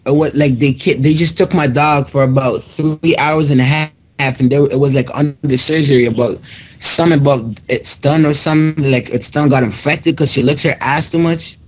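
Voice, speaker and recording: A man's voice: on the high side, fairly even intonation, brisk, rough recording. A young man making a prank call as a customer, talking in casual slang over an internet voice call. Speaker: prank caller posing as a customer. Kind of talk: casual slangy phone conversation.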